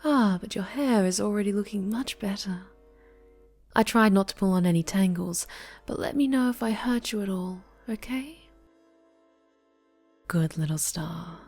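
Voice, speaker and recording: A woman's voice: medium pitch, a little slow, long pauses, faint music behind. A woman playing an obsessive winged superheroine captor in an ASMR roleplay, murmuring with doting, condescending tenderness and slipping into whispers. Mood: tender, teasing. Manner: soft, cooing, condescending tenderness, drifting into whispers.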